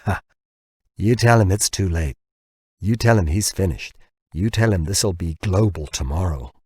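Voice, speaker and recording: A man's voice: low-pitched, expressive, normal speed, darkish tone, breathy edge, no room sound, studio quality. A man voicing a bitter, ranting American man who taunts and tumbles over his words in an audiobook. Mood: triumphant, bitter. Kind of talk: audiobook character dialogue.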